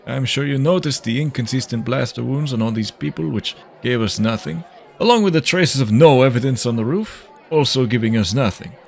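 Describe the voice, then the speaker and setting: A guarded male investigator in a sci-fi audio drama, played by a man. His mid-pitched voice is dry, measured and matter-of-fact. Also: gravelly. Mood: frustrated.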